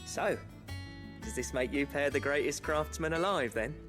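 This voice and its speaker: A woman's voice: low voice, moderate pace, over music, natural voice. A woman voicing a cheery, cheeky common-folk companion in a video game, chummy and colloquial. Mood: teasing, friendly.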